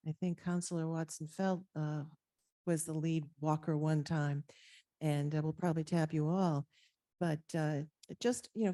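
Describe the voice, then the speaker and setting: A soft-spoken woman, presenting her department's work at a city council meeting through a table microphone, with frequent fillers. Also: sits low, dry recording. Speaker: council on aging director presenting budget.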